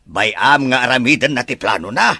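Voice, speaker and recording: Man acting expressive husky-voiced married man. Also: medium pitch, normal speed, dry recording, full character voice. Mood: grumbling. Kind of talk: Ilocano radio-style drama acting.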